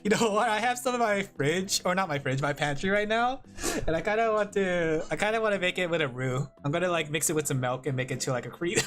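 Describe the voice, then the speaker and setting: A man's voice: high-pitched, fast talker, a bit bright. Rambling, laughing male streamer. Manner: rambling and self-deprecating, laughs often. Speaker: vtuber streamer. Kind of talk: casual livestream chat while drawing.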